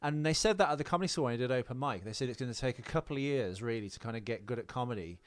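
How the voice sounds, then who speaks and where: A man's voice: medium pitch, animated, rapid-fire, no echo. A man in his forties co-hosting a podcast in casual, rambling chat. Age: 40s. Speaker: podcast co-host.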